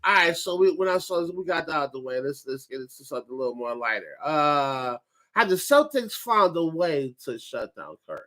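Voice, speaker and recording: A man's voice: on the high side, very animated, brisk, fairly bright tone. Light-voiced man hosting profane sports banter. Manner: loose, profane, talks over others. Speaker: podcast host.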